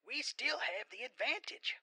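A man voicing an elderly grandfather, a superhero's techie sidekick, in a high, strained, husky voice for an audiobook. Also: lively intonation, unhurried, sharp tone, no room sound, heavily acted. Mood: urgent.

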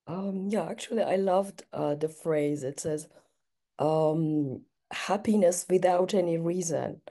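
A woman's voice: lowish voice, slow pace, warm tone. A young woman from Iran, a native Persian speaker, talking on a video call in a hesitant, reflective way with many fillers. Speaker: participant. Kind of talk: casual chat. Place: home room, indoors.

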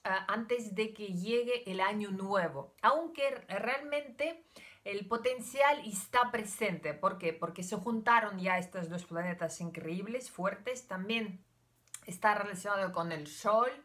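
A woman's voice: medium pitch, unhurried, sharp tone. An older woman from Ukraine, a native Russian speaker with a Russian accent, talking casually and hesitantly to camera from a room at home. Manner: chatty, hesitant, gestures with her hands. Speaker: vlogger.

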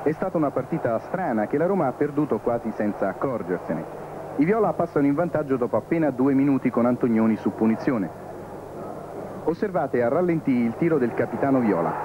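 Male sports reporter, mid-pitched, reading match highlights, narrow tinny sound with clear noise. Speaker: football reporter. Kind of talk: scripted match report narration. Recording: phone-like, noisy archive TV broadcast.

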